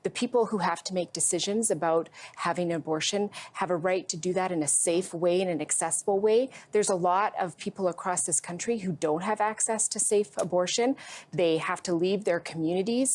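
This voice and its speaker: A woman politician from Canada speaking earnestly and in a measured way on a debate panel. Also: lowish voice.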